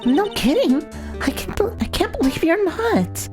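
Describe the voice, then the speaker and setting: High, distressed young female sidekick acted by a woman. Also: big pitch swings, full character voice. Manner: halting, emotional, pleading. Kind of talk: dramatized video game dialogue. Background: background music.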